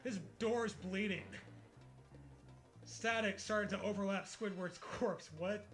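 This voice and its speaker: Male co-host, high voice, casual comedic read-along with sweary asides, light music behind. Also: fairly even intonation, takes it slowly, fairly bright tone. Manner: sweary, mocking. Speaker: co-host reading a story aloud. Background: background music.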